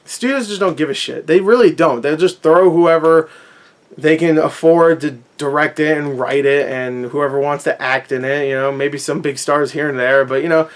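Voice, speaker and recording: A man's voice: on the high side, moderate pace. A man giving a casual, opinionated rant in a sarcastic, sweary, conversational way. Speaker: movie review vlogger.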